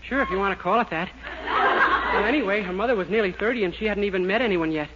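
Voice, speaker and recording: A high, boyish voice of an innocent, slow-witted young man played by a male actor, earnest and polite in old radio comedy style. The sound is muffled and buried in heavy noise. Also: lightly acted. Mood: cheerfully oblivious. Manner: earnest, innocent, literal-minded. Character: naive, polite, dim-witted young man, easily confused. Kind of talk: 1940s radio comedy acting.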